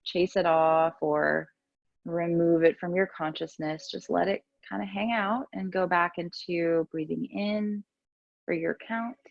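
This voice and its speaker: A woman teaching yoga and meditation, giving gentle, soothing, unhurried guidance with pauses between instructions. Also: fairly low pitch, steady tone, gravelly, no room sound, muffled recording.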